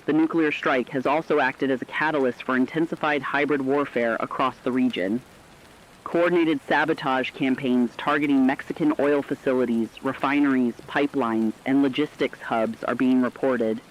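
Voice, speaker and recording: A serious radio crisis reporter, played by a man, reads a fictional emergency bulletin. The mid-pitched voice is formal and measured. The sound is slightly dull, with faint noise behind it. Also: steady tone, close to an everyday voice. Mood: grave, sober. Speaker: radio news correspondent. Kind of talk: scripted emergency news broadcast narration.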